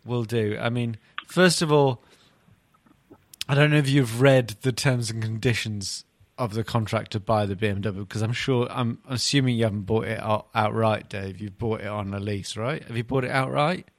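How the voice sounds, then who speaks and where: A man's voice: fairly low pitch, normal speed, dry recording. A man hosting a podcast in casual comic banter, teasing his co-hosts with mock bafflement. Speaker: podcast host.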